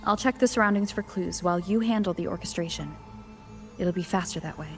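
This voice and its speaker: A woman's voice: fairly low pitch, a little fast, close to an everyday voice. An actress voicing a curious young woman adventurer who reasons aloud through clues in an English game dub. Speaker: protagonist investigating ruins. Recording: roomy game audio with long reverb. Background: soft music.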